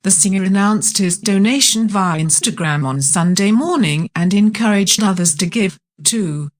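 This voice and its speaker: A woman reads a scripted news item with a steady, measured delivery. Her voice sits at a middle pitch for a woman, neither high nor low. Each sentence is read out evenly, like a bulletin. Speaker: news narrator.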